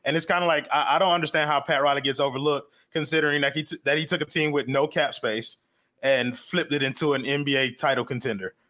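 A man's voice: on the high side, steady tone, fast talker, pushed and bright. A man on a sports podcast panel giving his opinion casually, with stammered restarts.

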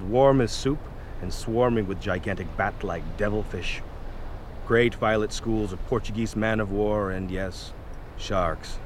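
A man performs a weathered lighthouse keeper narrating a horror radio play in a low voice. He savors each detail with theatrical, deliberate phrasing, inside an echoey stone space with some background noise. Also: natural voice. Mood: ominous, brooding. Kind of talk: dramatic first-person radio play narration. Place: on location inside an unused lighthouse.

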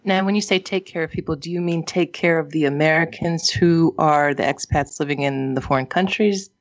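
A woman's voice: low-pitched, a little fast. A woman interviewer asking long questions and correcting herself as she goes. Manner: rambling questions with self-corrections. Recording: muffled lo-fi audio.

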